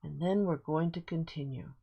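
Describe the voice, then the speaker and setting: A woman's voice: low voice, normal speed, gravelly, breathy edge, highs cut off. A woman teaching a guided reading lesson to children, explaining things simply and repeating key points. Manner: simple explanations with much repetition.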